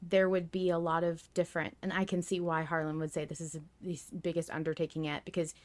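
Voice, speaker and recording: A woman's voice: on the low side, level tone, quick pace. A woman hosting a podcast in casual chat, swearing freely. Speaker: podcast host.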